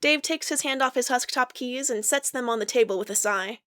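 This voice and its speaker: Woman narrating a fanfic, highish voice, super dry close recording. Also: fairly quick, fairly bright tone, clear, resonant tone, dry recording. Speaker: podfic voice actor. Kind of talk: reading fan fiction aloud with acted dialogue.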